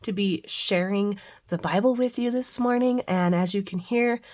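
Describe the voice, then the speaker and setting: A middle-aged woman, hoarse from the flu, chatting casually and rambling a bit as she hosts a faith and crafting video at home. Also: mid-range voice, moderate pace, darkish tone. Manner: chatty, rambling with frequent fillers. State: hoarse, recovering from flu with a cough. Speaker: craft channel host. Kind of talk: casual devotional and crafting chat.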